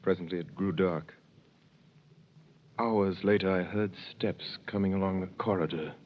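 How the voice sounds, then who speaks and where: A man's voice: low-pitched, fairly even intonation, moderate pace. An actor playing an earnest, bewildered young sailor in a 1930s radio drama, pleading his innocence. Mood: narrating. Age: young adult. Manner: earnest, pleading, rising in alarm. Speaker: lead actor and narrator. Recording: muffled old radio broadcast.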